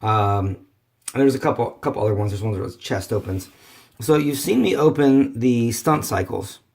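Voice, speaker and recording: A hoarse man, rambling casually through a toy collector's unboxing video. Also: medium pitch, animated, moderate pace, a little mellow. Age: 30s. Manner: rambling, many fillers and restarts. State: hoarse from bronchitis.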